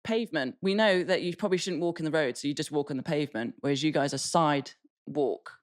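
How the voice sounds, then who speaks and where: Low-voiced English woman, chatty and blunt. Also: fast talker. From England. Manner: chatty, blunt, swears freely, self-mocking. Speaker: podcast co-host. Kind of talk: casual chat.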